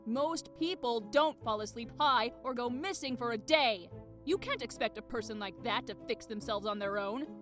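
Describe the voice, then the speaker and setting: A woman's voice: a little high, moderate pace, over music, natural voice. An actress playing a stern, middle-aged mother scolding her teenage son in a visual novel game, commanding and emphatic. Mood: exasperated.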